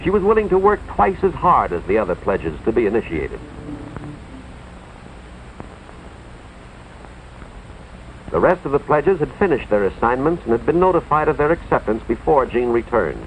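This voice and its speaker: An American man playing an earnest, authoritative school official, narrating a cautionary tale in a deliberate, moralizing 1950s educational film style, with dramatic emphasis. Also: medium pitch, big pitch swings, a little hiss or hum. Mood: sympathetic, grave. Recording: tinny, band-limited 1950s film soundtrack, music behind.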